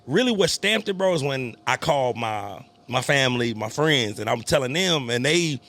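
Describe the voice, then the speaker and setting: A man in his thirties hosting a podcast, chatting casually in an animated, slangy, talkative way. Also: mid-range voice, normal speed. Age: 30s. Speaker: podcast host. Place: cigar lounge.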